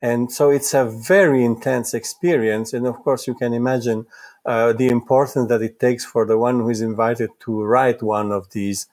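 A man from Italy speaks, with Italian as his first language. His voice sits at a middling pitch for a man. He gives careful, measured interview answers and often stalls on repeated words before carrying on. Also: steady tone, a little mellow. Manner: halting, with many repeated words and restarts. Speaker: psychoanalyst guest.

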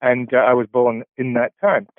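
A man's voice: mid-range voice, little pitch movement, no echo. Older Englishman on a tinny phone line. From England. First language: Polish. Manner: halting, many filler sounds and restarts. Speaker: professor, interview guest. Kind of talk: reflective interview answers.

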